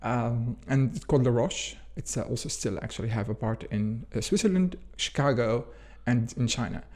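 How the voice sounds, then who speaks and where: A man's voice: mid-range voice, normal speed, darkish tone. Rambling man. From Jordan. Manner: rambling, leans on "actually" and "sort of". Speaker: interview guest, food scientist and company founder. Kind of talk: conversational interview answers.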